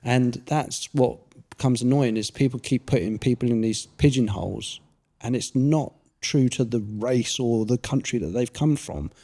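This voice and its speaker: A man hosts a relaxed chat. He talks in a rambling, easygoing way and piles one thought onto the next. His voice is mid-pitched and ordinary. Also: moderate pace. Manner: rambling, chatty, many fillers and restarts. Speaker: podcast host. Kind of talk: casual interview chat.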